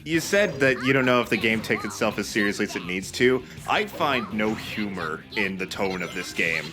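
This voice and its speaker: A man doing casual video game commentary, phrasing his opinions in a halting, considered way. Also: lowish voice, expressive, music in the background. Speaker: let's play commentator.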